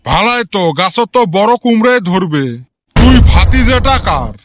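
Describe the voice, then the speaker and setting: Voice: mid-range voice, very animated, normal speed, heavy background noise, lightly acted. A performer voicing a scolding middle-aged village father in a comic cartoon, speaking a rural Goalpara Bengali dialect full of exclaimed rhetorical questions. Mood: curious, chatty.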